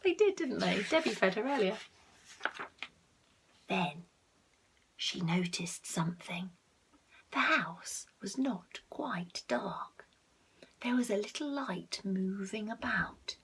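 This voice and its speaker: A woman reading a picture book aloud to children, expressive, with asides to the listeners and hushed tones for effect. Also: mid-range voice, slow pace, vocal fry, pauses often, husky. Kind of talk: animated read-aloud with asides to young listeners.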